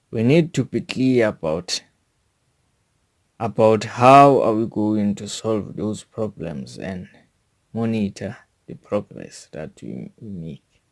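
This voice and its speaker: A South African man reading a scripted educational monologue in a deliberate, formal manner, as a podcast host. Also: lowish voice.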